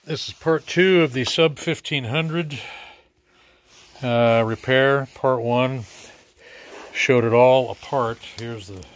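A man giving a casual, hands-on repair demonstration with halting, unhurried explanations. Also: medium pitch. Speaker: speaker repair technician. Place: small repair workshop, indoors.

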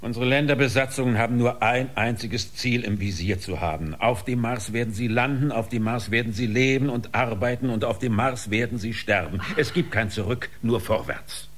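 An actor playing a cold, stern spaceship commander in a science fiction radio play, hard and dismissive. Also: male voice, medium pitch, normal speed, few pauses, close to an everyday voice. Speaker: actor in an audio drama.

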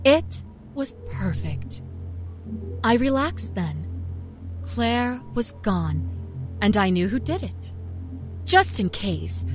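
A woman's voice: medium pitch, fairly bright tone. A woman playing a cold, matter-of-fact young small-town murderess, telling her horror story in a conversational, wry way. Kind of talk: first-person dramatic horror story narration. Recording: music under the voice.

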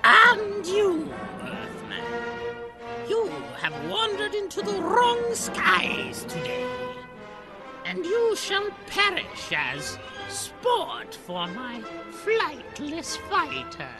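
Screeching, creaky bird-creature tyrant. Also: very high voice, sing-song, full character voice. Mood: gloating menace. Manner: pompous, drawn-out declaiming. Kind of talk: old-time radio serial acting. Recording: echoey, music underneath.

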